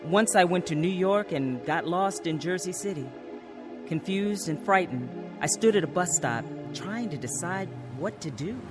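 A woman's voice: fairly low pitch, expressive, moderate pace, lightly acted. Actress as troubled woman over music. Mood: somber, reflective. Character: troubled, confused adult daughter telling her own story. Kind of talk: radio drama narration and acting.

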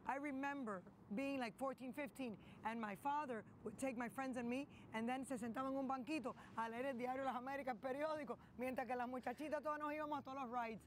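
A woman's voice: high voice, steady tone, fast talker, hardly any pauses, slight background noise. A middle-aged woman hosting an interview outdoors, effusive and agreeing often, switching between English and Spanish with a Miami flavor. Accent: Miami Spanglish, switching English and Spanish. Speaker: show host. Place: outdoors by palm trees. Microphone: lapel mic.

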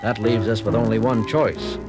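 An actor playing a decisive male starship captain who talks in a commanding, expressive way and narrates his log, in a 1970s audio drama. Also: fairly low pitch, moderate pace, music in the background, lightly acted.

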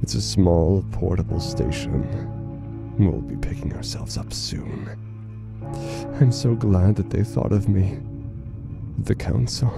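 Unstable male announcer, low creaky voice, halting formal delivery, horror audio drama, music behind. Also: heavily acted. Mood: fragile, adoring. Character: unhinged male broadcaster, grave, formal, fragile, devoted to his council. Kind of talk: in-character emergency broadcast in audio horror drama.

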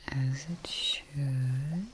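A young woman playing a devoted, tired scientist tending her creation in a breathy, gentle whispered ASMR roleplay, close to the mic. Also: extremely low pitch, steady tone, a little hiss or hum, exaggerated voice. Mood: tender, awed. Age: young adult. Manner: slow, breathy, gentle, pausing. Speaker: ASMR performer. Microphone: close ASMR microphone.

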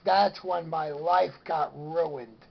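Gravelly, slow-talking older man reminiscing. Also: fairly high pitch, fairly even intonation, muffled recording. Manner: rambling storytelling. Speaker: vlogger. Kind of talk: casual reminiscing chat. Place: small room indoors, in an armchair.

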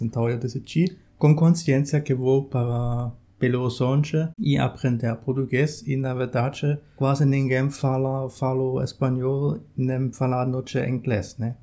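Young man, German accent, gravelly, mellow tone, halting learner's phrasing, vlog in a small room. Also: medium pitch. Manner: halting learner's Portuguese with many restarts. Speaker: vlogger. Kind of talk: casual talk to camera.

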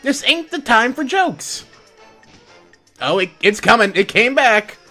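A woman's voice: on the high side, very animated, a little slow, sharp tone. A woman hosting a let's play, chatting casually and correcting herself as she goes. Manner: chatty, self-correcting, reads dialogue aloud. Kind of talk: casual gameplay commentary. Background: game music.

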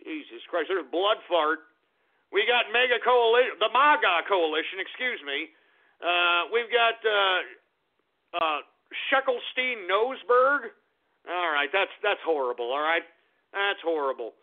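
A man hosting an internet talk radio show, ranting in a loud, profane, exasperated way, with sudden shouted outbursts. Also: sits high, expressive, a little slow. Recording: tinny phone-like lo-fi audio.

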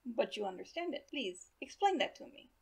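A woman voicing a gruff, messy old male doctor with a German accent and deliberate, probing broken English, in a storybook reading. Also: on the high side, very animated, heavily acted. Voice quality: wheezy, mumbling.

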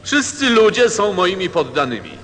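A man playing a pompous, self-important king with drawn-out speech in a radio drama. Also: high-pitched, very animated, a bit bright. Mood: grandiose. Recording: long hall reverb.

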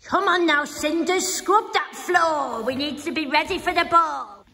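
A woman's voice: high voice, measured pace, sharp tone, slight background noise, heavily acted. A woman playing a bossy, gleeful ugly stepsister in a school pantomime, with a broad put-on accent. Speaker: school senior leader in a pantomime.

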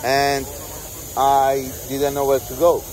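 A man who owns a tool company, chatting casually with an exhibitor and often pausing to search for words. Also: medium pitch, completely flat delivery, a little mellow, a little hiss or hum, rough recording. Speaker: tool company owner and host. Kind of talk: casual trade show interview. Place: busy trade show hall.

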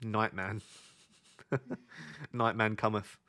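A man's voice: on the low side, steady tone. A man in his thirties with a sore, fading voice, reading viewer questions and thinking aloud on a live stream from a home desk. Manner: thinks aloud, reads questions from chat, talks quieter and slower. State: losing his voice, sore throat. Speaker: fantasy football streamer. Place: indoor home studio at a desk.

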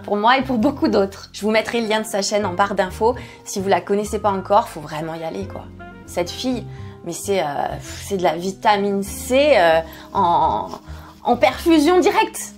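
A woman in her forties speaks to camera from a home kitchen set, chatty and self-mocking, with plenty of hesitations. A faint bed of music sits behind her voice. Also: on the high side. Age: 40s. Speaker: skincare YouTuber. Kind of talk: candid personal vlog. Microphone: fixed camera, seated at medium distance.

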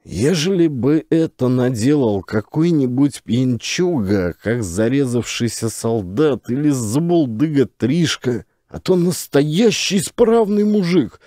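A man's voice: medium pitch, dark tone, dry recording, studio quality. A man narrating a scripted story as an actor reading an audiobook.

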